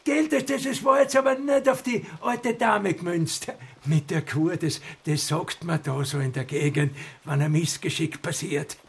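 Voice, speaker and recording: An actor playing an insolent, falsely servile rural servant with an Austrian accent and a gravelly, husky voice in a radio drama. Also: male voice, a little high, unhurried, heavily acted. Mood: mocking, falsely servile.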